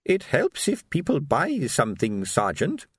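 A man's voice: high-pitched, wildly swooping pitch, normal speed, vocal fry, slightly rough, no echo, studio quality, pitched above the performer's own voice, heavily acted. A man voicing a tiny, querulous old lady shopkeeper in an audiobook, thin and hesitant. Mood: prim. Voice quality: thin, quavering. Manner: hesitant, dithering, polite.

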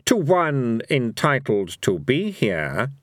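A man voicing a smug, menacing god of gambling, speaking deliberately and with condescension in a storybook reading. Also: mid-range voice, wildly swooping pitch, no room sound, studio quality, pitched above the performer's own voice. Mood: smug, threatening.

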